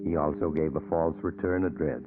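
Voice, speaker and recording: A mysterious, knowing male narrator of a 1940s radio thriller, with an extremely deep voice. Prominent music runs behind him on a tinny old recording. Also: brisk. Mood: ominous, measured.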